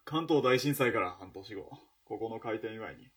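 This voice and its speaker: A man playing an awkward, evasive former soldier in a radio drama, speaking in a hesitant, halting way. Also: fairly low pitch, animated. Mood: reserved. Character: awkward, evasive male ex-soldier, reluctant bearer of news. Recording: distant from the mic.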